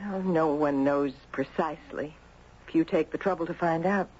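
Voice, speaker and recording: Radio drama mother played by a woman: gracious, informal, quietly enigmatic; muffled vintage sound with slight hiss. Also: deep voice, moderate pace, natural voice. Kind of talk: 1970s radio drama acting. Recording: muffled, faintly noisy old radio recording.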